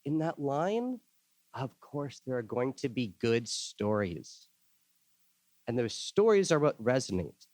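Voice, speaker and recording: A man's voice: mid-range voice, sing-song, takes it slowly, darkish tone, no echo. A man who grew up in El Salvador, giving an enthusiastic workshop talk to an audience and often repeating words as he thinks. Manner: enthusiastic, repeats words while thinking, acts out quoted voices.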